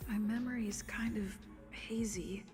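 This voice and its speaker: An actress playing an earnest, hazy young heroine in a video game, musing to herself. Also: female voice, medium pitch, steady tone, measured pace, a little mellow, lightly acted. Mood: puzzled, musing. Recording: reverberant, music underneath.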